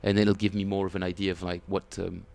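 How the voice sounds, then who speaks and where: A man with a French accent, chatting casually and self-mockingly with viewers as he streams his bass practice, often chuckling. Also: level tone, quick pace, gravelly, a little mellow. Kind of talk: casual livestream chat.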